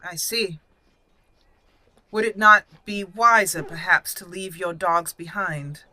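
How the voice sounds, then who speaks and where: Woman playing a bright-voiced, haughty young noblewoman. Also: fairly low pitch, slow, exaggerated voice. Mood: formal, wary. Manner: formal, stately, haughty. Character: proud, formal young noblewoman mage, haughty. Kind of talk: roleplaying in a fantasy game.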